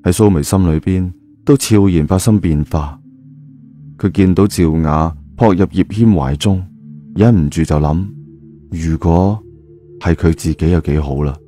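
Man, low pitch, dark warm tone, colloquial dramatic story narration. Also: unhurried. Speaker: audiobook narrator. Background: music.

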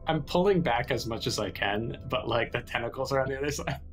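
Man, tabletop player, casual, halting improvised roleplay, mid-range pitch, faint music. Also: fairly bright tone. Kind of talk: casual improvised roleplay, describing his actions.

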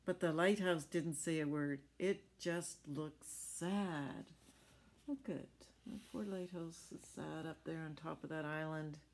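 Middle-aged female storyteller for a picture book: slow, gravelly, explains and checks in with young listeners. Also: low voice, darkish tone. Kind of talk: children's read-aloud with chatty asides. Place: small furnished room at home.

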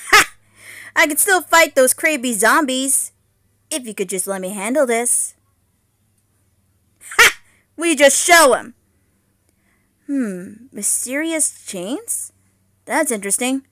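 Cartoon fairy warrior princess, played by a woman: high, swooping pitch, sassy, bold yet wary, dramatic delivery. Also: expressive, takes it slowly, pauses often, pushed and bright, full character voice. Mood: bold, cocky. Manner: dramatic, sassy, hesitant stammers. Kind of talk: amateur cartoon character voice lines.

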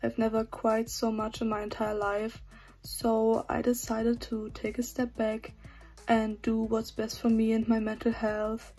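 Soft, husky young woman from Germany. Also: a little high, monotone, a little slow, soft background music. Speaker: student. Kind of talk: casual video message.

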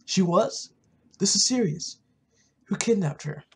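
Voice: medium pitch, animated, measured pace, slight background noise, voice pushed up. A gamer voicing an earnest, concerned town merchant in a video game roleplay, reading with emphatic delivery. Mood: alarmed.

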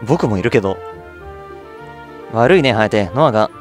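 A man's voice: fairly low pitch, lively intonation, measured pace, voice pushed down. An easygoing young man from a visual novel, sly yet polite, acted out by a man. He stays mild and unruffled while his intonation moves freely. Light music is behind him.

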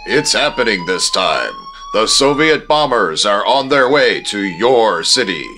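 An actor playing a grandiose, ominous announcer in the style of fifties radio. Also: male voice, fairly low pitch, animated, unhurried, pushed and bright. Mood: alarmist. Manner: grandiose, emphatic. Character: dramatic, ominous male old-time radio announcer. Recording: echoey, heavy music bed.

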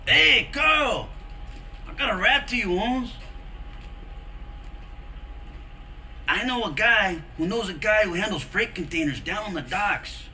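A man playing an excitable, high-strung, slangy car thief in a video game, with a melodramatic manner. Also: moderate pace, slight background noise, exaggerated voice. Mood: eager, hurried. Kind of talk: video game cutscene acting.

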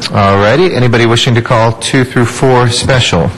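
A man in his thirties chairing a city council meeting from a desk microphone in a large chamber, brisk and procedural with relaxed fillers. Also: mid-range voice, a little hiss or hum, rough recording. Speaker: council president.

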